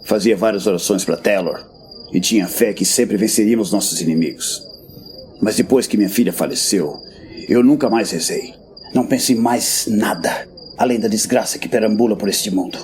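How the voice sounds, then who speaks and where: Man playing a grim, deep-voiced veteran warrior. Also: moderate pace, darkish tone, slight background noise, close to an everyday voice. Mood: bitter, weary. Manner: blunt, solemn, world-weary. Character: grim, weary veteran male warrior master, bitter and blunt. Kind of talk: fantasy audio drama acting. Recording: background music.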